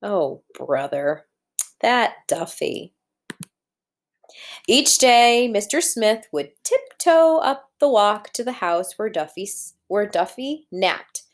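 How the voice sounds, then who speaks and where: A woman's voice: mid-range voice, lively intonation, slow pace. A woman teacher reading a storybook aloud to young children, talking to them directly and posing questions as she guides them along. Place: indoors at a computer.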